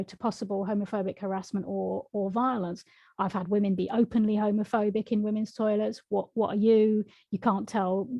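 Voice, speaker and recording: A woman's voice: mid-range voice, dark tone, no echo, dull audio. A woman, an author answering interview questions in a frank, reflective way, laughing now and then. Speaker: author and guest.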